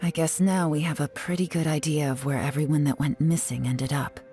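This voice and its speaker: An actress plays a cool, shrewd woman adventurer in a video game. Her voice is deep and dark, her delivery composed and deliberate, with soft music underneath. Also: steady tone, lightly acted. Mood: somber. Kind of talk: English game dub cutscene acting.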